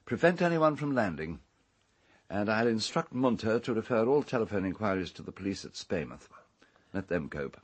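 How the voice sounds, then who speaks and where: An actor playing an urbane, haughty English castle owner in a radio drama, with a husky voice and pompous, measured formal speech. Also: male voice, on the low side, sing-song. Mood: brisk, lordly.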